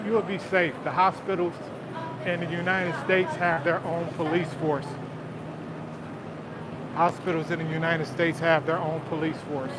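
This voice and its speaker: Man, Southern US accent, casual livestream host on foot, phone mic, fairly high voice, loud traffic behind. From Detroit, Michigan. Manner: relaxed, chatty, answers viewer comments, often says "man". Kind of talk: casual live-stream chat while walking. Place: outdoors on busy city streets. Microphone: phone held while walking. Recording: street noise. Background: city traffic and crowd sounds.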